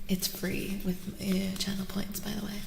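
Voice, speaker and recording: A woman streamer speaking in soft, soothing ASMR, drifting between a breathy whisper and a hushed voice, close to the microphone. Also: medium pitch, monotone, moderate pace, echoey room, noisy background. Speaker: streamer hosting a live tarot reading.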